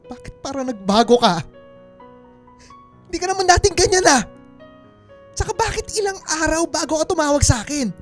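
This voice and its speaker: A woman's voice: high voice, big pitch swings, a little fast, pauses often, faint music behind. A woman acting a hurt, suspicious Filipino husband in a radio drama, pleading and reproachful. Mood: accusing, pleading. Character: wounded, suspicious husband, a struggling small-shop mechanic.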